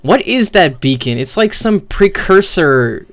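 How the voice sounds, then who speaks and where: A man's voice: fairly high pitch, big pitch swings, unhurried. A man giving casual, slangy streamer commentary on video game cutscenes, with swearing and incredulous questions.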